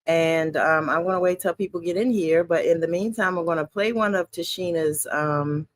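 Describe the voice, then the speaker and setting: An online radio show host chatting casually on a livestream in a mid-pitched voice. The delivery is upbeat and welcoming, with a loud opening greeting. It is recorded dry on a computer mic with no room sound. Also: normal speed, dry recording. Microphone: streaming setup, computer mic.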